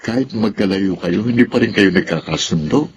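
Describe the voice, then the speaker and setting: Low-voiced caring Filipino father. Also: animated, moderate pace, slightly rough, lo-fi sound. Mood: concerned, fatherly. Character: caring older Filipino father, gently nagging. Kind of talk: radio drama acting.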